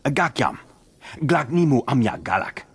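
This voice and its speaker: Very raspy, chattering alien. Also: male voice, medium pitch, sing-song, unhurried, full character voice. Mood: businesslike. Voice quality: husky, rough. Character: male alien speaking a clipped invented tongue. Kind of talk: video game voice acting.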